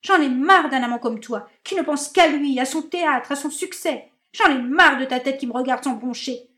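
Woman voicing a shrill furious dumped lover. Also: moderate pace, pushed and bright. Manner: shrill screaming, high-pitched outbursts.

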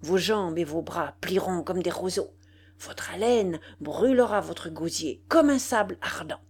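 A woman's voice: medium pitch, sing-song, unhurried, a little mellow. A woman voicing a vengeful, gloating male dwarf sorcerer in an audiobook, with theatrical, commanding emphasis. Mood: furious, cursing. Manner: theatrical, emphatic, cursing with Spanish words mixed in.

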